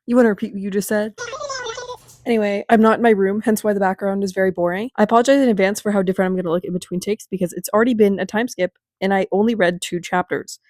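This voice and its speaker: A woman's voice: mid-range voice, fairly even intonation, a little mellow, dry recording, clean studio sound. A young woman with a congested voice from a cold, chatting casually and rambling as she recaps a book at home. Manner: fast, bubbly and rambling. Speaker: book vlogger. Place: at home in front of a bookshelf.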